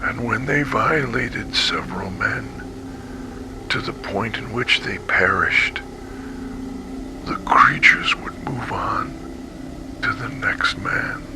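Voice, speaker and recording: An actor playing a traumatized, weakened male survivor giving halting, breathy testimony in an analog horror story. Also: fairly low pitch, background noise. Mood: haunted, grim. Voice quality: breathy, whispery. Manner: slow, halting, haunted. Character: traumatized male survivor of a monstrous captivity, haunted and weak.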